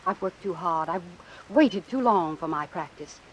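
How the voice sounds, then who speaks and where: A woman's voice: lowish voice, animated, unhurried, rough recording. An actress playing an embittered, ambitious woman doctor in an old-time radio suspense drama, speaking in a cool, clipped, sardonic way. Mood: bitter, resolute. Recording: hissy vintage radio recording with rain. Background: hiss and falling rain.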